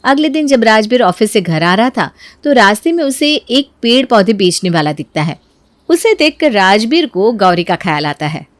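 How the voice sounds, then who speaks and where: A woman's voice: medium pitch, big pitch swings, normal speed, no echo. A woman narrating a scripted family moral story as a storyteller.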